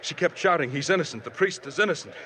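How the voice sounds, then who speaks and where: An actor playing a police officer reporting to his superior in a quick, matter-of-fact way in a 1950s radio drama. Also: a little high, expressive, bright, forceful tone, slight background noise.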